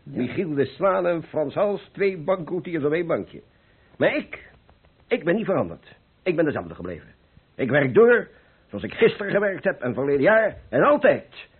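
An older painter, blithe and full of persuasive rhetoric. His high voice is husky and leaps about with great animation, as radio play dialogue. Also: big pitch swings, moderate pace, heavily acted. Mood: lecturing, spirited. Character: cheerful, carefree, philosophizing older male painter. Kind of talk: Dutch radio play acting. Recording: muffled old radio play recording.

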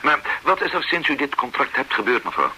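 A hemming, fussy consultant. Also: male voice, mid-range voice, sing-song, brisk, bright, forceful tone, slight background noise, low-quality recording. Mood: curious. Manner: hesitant, stammering, questioning. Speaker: adviser running an advice bureau. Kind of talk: radio play acting.

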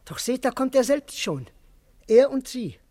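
Casting: cowardly comic manservant, very high voice, swooping intonation, over-the-top grovelling for radio theatre. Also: male voice, very animated, unhurried, mellow, full character voice. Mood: alert. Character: comic, grovelling, hungry servant, cheeky and cowardly. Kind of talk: classical German verse radio drama.

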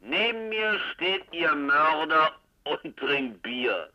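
Ein Schauspieler spielt in einem Krimihörspiel der 1950er einen leicht angetrunkenen, frech stichelnden, prahlerischen Reporter am Telefon. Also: male voice, on the high side, very animated, takes it slowly. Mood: teasing, tipsy. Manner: teasing, boastful, jovial. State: slightly drunk. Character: tipsy, cheeky male reporter phoning a police inspector. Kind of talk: 1950s German radio crime drama acting. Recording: dull, band-limited old radio recording.

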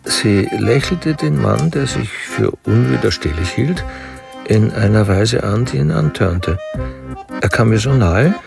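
Sober male storyteller, radio crime drama. Very gravelly, lowish, dryly wry, music behind. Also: animated, measured pace, exaggerated voice. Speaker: narrator. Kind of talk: scripted radio drama narration.

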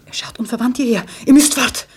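An actress in a German radio play playing a fearful, watchful neighbour woman, husky and often close to a whisper. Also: a little high, fairly quick. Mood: anxious, hushed, then urgent.

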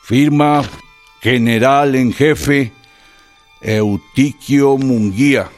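An actor playing a defeated middle-aged Mexican army general, reading a formal military report in a solemn, measured way for a radio drama. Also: male voice, medium pitch, steady tone, faint music behind. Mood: grave, formal.

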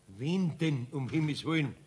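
A man playing a blunt, hot-tempered Bavarian card player in a 1970s radio play, with a gravelly, sharp voice. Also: on the high side, animated, a little slow, heavily acted. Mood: puzzled, grumbling.

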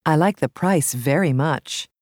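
A woman with an American accent reading scripted language lesson narration in a measured, clearly enunciated way. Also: deep voice, a little mellow, no echo, studio quality.

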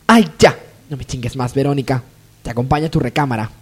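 A woman playing a haughty, spiteful lady of the hacienda in a radio soap, sharp-tongued and disdainful. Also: extremely low pitch, fast talker. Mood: haughty, dismissive. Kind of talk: radionovela melodrama acting.

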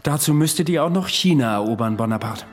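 A man's voice: fairly high pitch, lively intonation, fast, soft background music, close to an everyday voice. An actor in a radio play playing an earnest, haunted man with a dryly ironic, insistent manner. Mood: challenging. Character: intense, wry, haunted man claiming to be Napoleon's veteran.

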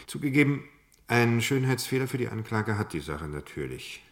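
An actor playing a sober, pragmatic male defence lawyer in a German crime radio play, measured, frank and probing. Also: mid-range voice, sing-song. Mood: dry, frank. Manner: measured, matter-of-fact, questioning.

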